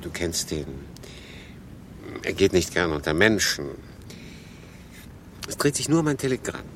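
An actor playing a wry, guarded refugee telling his story in a radio drama, in measured, laconic phrases. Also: sits low, very animated, a bit bright, slight background noise, heavily acted. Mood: quiet, guarded. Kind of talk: radio drama narration and dialogue.

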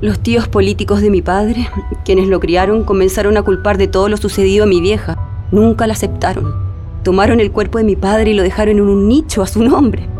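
Actress as mellow-voiced grieving Chilean daughter. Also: female voice, mid-range voice, steady tone, faint music behind, natural voice. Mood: bitter, sorrowful. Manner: sombre, measured recounting. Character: grieving daughter giving testimony about her murdered father. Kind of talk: radio drama testimony narration.

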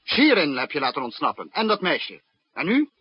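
An actor playing a stern, menacing head of state in a 1960s radio drama, with a husky voice. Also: male voice, fairly high pitch, wildly swooping pitch, normal speed, no room sound, exaggerated voice. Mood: angry, accusing. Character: stern, threatening male head of an enemy state. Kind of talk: 1960s Dutch radio play acting. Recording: dull, dry 1960s radio recording.

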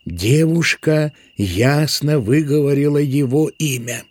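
A man narrating a literary audio drama in a measured, dramatic manner. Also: fairly high pitch, a little mellow, dry recording.

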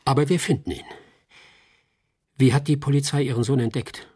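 An actor playing a wry, hard-boiled private detective in a radio play, blunt and sardonic. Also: male voice, mid-range voice, moderate pace, mellow, slightly rough, lightly acted. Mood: sardonic, reassuring.

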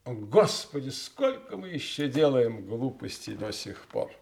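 A man acting in a Soviet radio play as a brisk party secretary, speaking in official phrases with dry, authoritative irony. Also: mid-range voice, very animated, vocal fry, a little mellow, slight background noise. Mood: frank. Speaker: actor.

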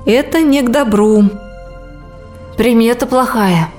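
A young woman playing a fragile, caring twenty-year-old army nurse in an audio drama, with a gentle manner. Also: fairly high pitch, takes it slowly. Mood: concerned. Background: faint music.

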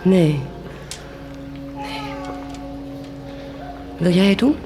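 A woman's voice: mid-range voice, huge pitch swings, extremely slow, music in the background, full character voice. An actress playing a fierce, compassionate village woman with a solemn, declamatory intensity, in a radio drama. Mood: grave, weary. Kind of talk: stage-like radio drama acting.

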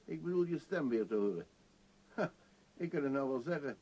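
A man's voice: mid-range voice, expressive, normal speed, a little mellow, husky. A male actor playing a dreamy, free-spirited vagabond in a Dutch radio play, with a lyrical, musing delivery. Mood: glad, surprised. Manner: lyrical, musing, rhetorical questions. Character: dreamy, free-spirited vagabond who scorns civilised life. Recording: dull, lo-fi old radio recording.

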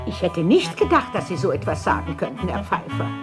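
A prim, disappointed lady in a radio drama. Her lowish voice is animated and formally reproachful, with music clearly behind it. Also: fairly quick. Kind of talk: radio play acting.

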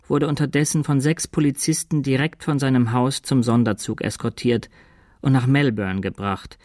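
An actor playing a sober chronicler, narrating a radio drama in a measured, detached, dryly ironic way. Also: male voice, mid-range voice, darkish tone, no echo, studio quality, natural voice. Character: sober male chronicler reporting an outlaw's aftermath.

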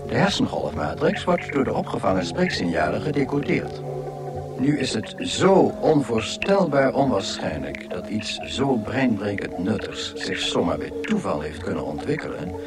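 An actor in a radio drama playing a dry, learned guidebook narrator, delivering scripted expository narration. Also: male voice, fairly low pitch.

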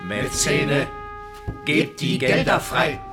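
A man's voice: on the high side, slow. A man playing a stern, demanding, pompous contract holder, declaiming in a radio play. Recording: loud music behind voice.

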